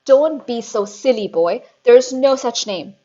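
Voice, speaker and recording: A woman voicing a huge, cruel headmistress who barks and shouts with contempt, on a dull lo-fi recording. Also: medium pitch, moderate pace, close to an everyday voice. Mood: bellowing scorn. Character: huge bullying female headmistress, furious and cruel.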